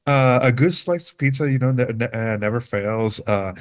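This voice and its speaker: A man's voice: mid-range voice, normal speed. A man introducing himself on a casual video-call panel, laid-back and slangy, often stumbling and repeating words. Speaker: developer advocate, panelist.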